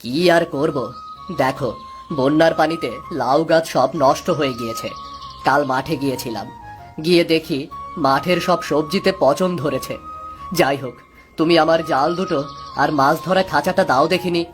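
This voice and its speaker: A man's voice: a little high, normal speed, music in the background, lightly acted. A man playing a patient, pious village father and poor farmer in a cartoon, with a homely manner. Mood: steady.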